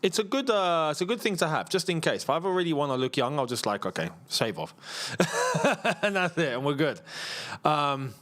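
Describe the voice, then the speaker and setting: A man in his thirties hosting a live football watchalong, sounding worn out from long days. He talks in a loose, rambling way, pitched a touch high for a man, and breaks into quick laughs as he reads viewer messages aloud. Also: sing-song, a bit bright. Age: 30s. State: tired. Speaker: football channel host. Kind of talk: casual live watchalong chat.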